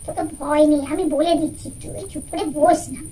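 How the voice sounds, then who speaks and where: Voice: sits high, steady tone, fairly quick, warm tone, lightly acted. A performer voicing an eager young schoolchild doll in a puppet story, with a sing-song childlike delivery. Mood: unsure, whispering aside. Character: eager young schoolchild dolls answering quiz questions.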